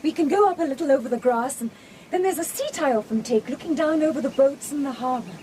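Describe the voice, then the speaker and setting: An actress playing a sweet, excitable young English gentlewoman, genteel and coaxing, in a period radio drama. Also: high-pitched, fast talker, slight background noise, lightly acted. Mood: bright, coaxing.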